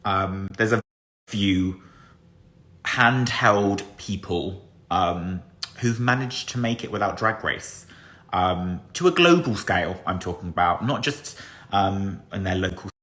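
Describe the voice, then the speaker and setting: Deep-voiced man making a measured personal statement. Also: fairly bright tone. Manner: measured, hesitant pauses, formal wording. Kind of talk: direct personal statement to followers.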